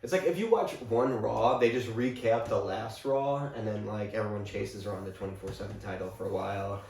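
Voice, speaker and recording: A man's voice: on the low side, fairly quick, vocal fry, a little mellow, distant mic. A stuffy-nosed man co-hosting a loose chat podcast, cracking crude jokes in an easy, offhand way. His voice lands somewhat away from the mic and bounces in a roomy space. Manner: loose, crude joking, laughs often. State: stuffy from allergies. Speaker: podcast co-host. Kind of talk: casual chat recapping wrestling.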